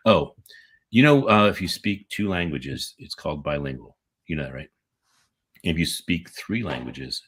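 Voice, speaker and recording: A rambling American man with a very deep, husky voice. He chats and jokes casually as a co-host and often corrects himself mid-sentence. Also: a bit bright. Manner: rambling, jokey, self-correcting. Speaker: podcast co-host. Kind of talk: casual chat.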